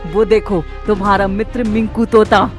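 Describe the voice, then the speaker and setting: A woman voicing a cruel witch who gloats and threatens theatrically in an animated moral story. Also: mid-range voice, normal speed, over music, lightly acted. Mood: gloating menace.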